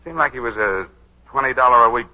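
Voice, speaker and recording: An actor playing a brash, wry lawyer who schemes and smooth-talks, in 1940s radio drama style. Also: male voice, mid-range voice, lightly acted. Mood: wry, breezy. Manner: wry, fast-witted, smooth-talking. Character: brash, wisecracking young lawyer turned schemer, slick and probing. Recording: dull 1940s radio broadcast recording.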